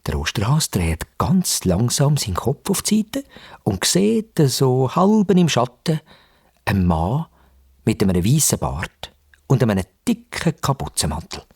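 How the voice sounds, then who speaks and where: A man with a Swiss German accent narrating a story for young children expressively, with dramatic pauses and repetition. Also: medium pitch, huge pitch swings, vocal fry, a little mellow, slightly rough.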